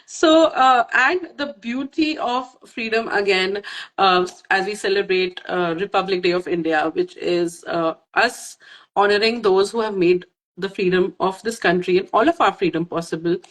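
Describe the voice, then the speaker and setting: A woman's voice: medium pitch, moderate pace, poor audio. A woman who grew up in Kuwait, hosting a casual interview, enthusiastic and hesitant with frequent "uh" fillers.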